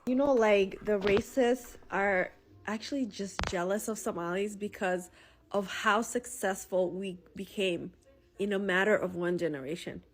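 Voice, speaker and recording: A woman's voice: mid-range voice, unhurried, faint music behind. A woman giving a casual, opinionated social media monologue, conversational and emphatic, repeating her points.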